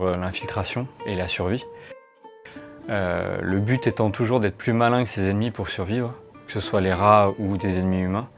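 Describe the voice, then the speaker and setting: A man speaking as a game developer in an explanatory interview, with thoughtful, hesitant phrasing. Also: low voice.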